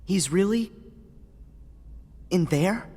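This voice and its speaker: A brave, emotional teenage boy hero from a video game, in a long reverb. The delivery is slow and earnest, swinging expressively in pitch with emphatic, heartfelt weight. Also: expressive, mellow, exaggerated voice. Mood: tense. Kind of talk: dramatic video game voice acting. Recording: long reverb, faint music behind.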